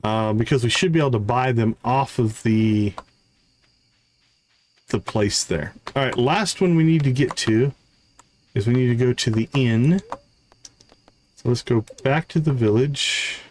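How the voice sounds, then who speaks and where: Male gaming host, medium pitch, casual live commentary that lists and counts items, slightly noisy recording. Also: a little slow, long pauses, a little mellow. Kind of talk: casual gameplay commentary, talking through tasks.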